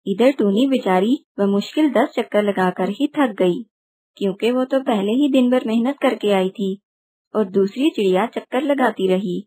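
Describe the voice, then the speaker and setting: A woman's voice: medium pitch, moderate pace, mellow, breathy edge. A woman narrating a scripted children's story.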